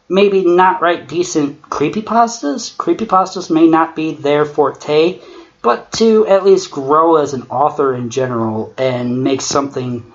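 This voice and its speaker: Male critic, sarcastic and sweary, unhurried delivery, dull lo-fi mic. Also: on the high side. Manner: sarcastic, profane. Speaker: commentator. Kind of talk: critical commentary on amateur horror fiction. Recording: muffled lo-fi mic with clear noise.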